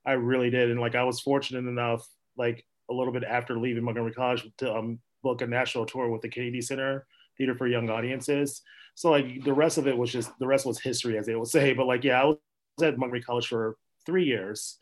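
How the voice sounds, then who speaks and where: A man's voice: medium pitch, little pitch movement, fast talker, rough recording. A young man from Minnesota, an actor and playwright, chatting as a podcast guest in a rambling way with many fillers and laughs. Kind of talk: casual interview chat.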